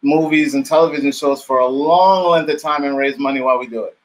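A man in his thirties hosting a casual video-call interview in a halting way, often repeating words. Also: mid-range voice, poor audio. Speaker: interview host. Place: dim home studio room, video call.

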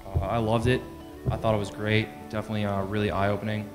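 Young male student speaker, extremely monotone, casual public remarks, music clearly audible behind. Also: lowish voice, quick pace, poor audio. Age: young adult. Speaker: student participant. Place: library meeting room, at podium. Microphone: podium gooseneck microphone.

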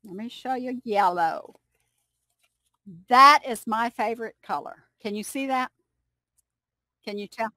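Older kentucky woman on a headset video call, slow high voice, dry sound, patient explainer. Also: dry recording. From United States. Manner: warm, explaining slowly with restarts. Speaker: English conversation partner. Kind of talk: casual video call chat. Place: home room indoors.

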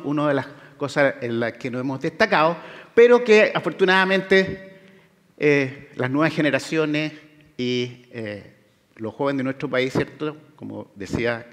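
A middle-aged man with a Chilean Spanish accent, giving a public science lecture in a conversational way, with fillers and tag questions. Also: medium pitch. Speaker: guest lecturer, oceanographer. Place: large lecture hall with audience. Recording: hall reverb from a lecture room.

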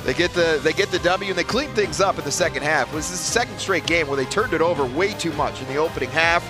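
A man in his fifties, a play-by-play announcer giving a postgame recap from a courtside desk in an arena, speaking into a headset mic in a steady broadcaster delivery. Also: fairly high pitch, fast, music in the background.

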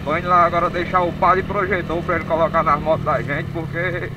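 Male motovlogger, higher-pitched, informal slangy chat, heavy noise on action-camera audio. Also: little pitch movement, brisk, lo-fi sound. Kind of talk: casual vlog chat. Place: riding a motorbike on rural dirt roads, later a dim room. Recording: noisy action-camera audio, distant voice, motorcycle rumble.

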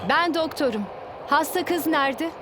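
A woman voicing a brisk, concerned doctor in a children's cartoon dub, with an emphatic way of speaking. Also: high voice, heavy background noise, close to an everyday voice. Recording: loud music behind the voice.

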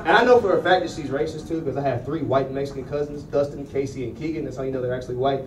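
A man's voice: mid-range voice, rapid-fire. An American man doing stand-up comedy in a casual, slangy, punchy storytelling way on a handheld microphone. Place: small comedy club stage. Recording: roomy distant club sound, loud crowd noise. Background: loud audience laughter and noise.

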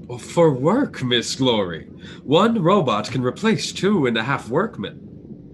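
A blunt male robot expert played by an actor in a radio drama. He is dismissive, with a very mobile pitch. The recording is clearly noisy. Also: big pitch swings, slow.

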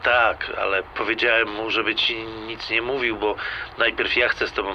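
A man's voice: fairly low pitch, level tone, normal speed, pushed and bright. An actor playing a coaxing man on a phone call in an audio drama, persuasive and familiar. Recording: dull lo-fi phone sound, street noise.

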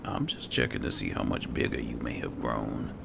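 Man, gamer, heavy vocal fry, muttered casual commentary, loud background noise. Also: a bass voice, little pitch movement, normal speed. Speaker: gamer playing and roleplaying a fantasy game. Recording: clear background noise, game ambience.

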